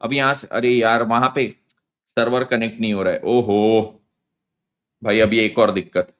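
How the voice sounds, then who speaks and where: An accounting teacher with a hoarse, sore throat, hyping his students in a live online class and mixing English into his Hindi. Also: fairly low pitch, level tone, normal speed. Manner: hypes students with slang, mixes English into Hindi. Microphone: phone on mobile data, live stream.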